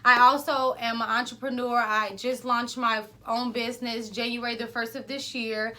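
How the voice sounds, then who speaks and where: Woman podcast co-host, loud, chatty, rambling, pitched a bit high. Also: flat delivery, normal speed. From Ohio, US. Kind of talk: casual podcast chat.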